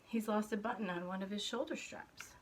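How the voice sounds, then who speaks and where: Woman reading as tired storybook mom, sighing, gentle, reasoning. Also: medium pitch, fairly quick, slight background noise, close to an everyday voice. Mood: weary. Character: weary mother gently refusing her child.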